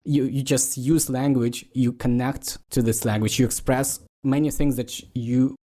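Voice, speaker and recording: A man from Ukraine, co-hosting a podcast in a casual discussion, speaking haltingly as he searches for words. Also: medium pitch, steady tone, warm tone. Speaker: podcast co-host.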